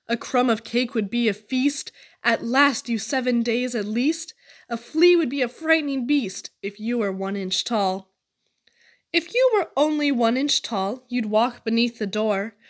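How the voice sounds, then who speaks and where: A woman reads children's verse expressively. Her voice sits high for a woman, and she goes slowly through each line. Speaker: poetry reader. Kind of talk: expressive children's poetry reading.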